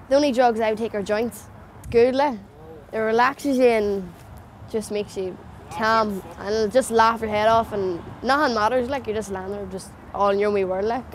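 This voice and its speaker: A woman's voice: medium pitch, slight background noise. A young woman with a Northern Irish accent, talking casually and matter-of-factly in a documentary interview. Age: young adult. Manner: casual, matter-of-fact, local slang.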